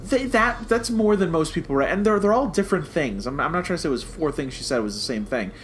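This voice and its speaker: A man's voice: fairly high pitch, expressive, fast talker. Man chatting casually over background noise. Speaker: co-host of a TV review podcast. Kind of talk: casual review chat.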